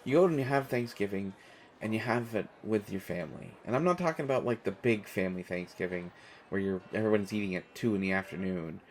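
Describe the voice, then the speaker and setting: Man acting hesitant American guy, flat low-key delivery. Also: slight background noise, natural voice. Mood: reflective, gentle. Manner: hesitant, halting, with trailing pauses and self-corrections. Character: awkward, kindly man chatting up a stranger at an airport. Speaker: audio roleplay performer. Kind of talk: intimate one-sided audio roleplay, casual conversational acting.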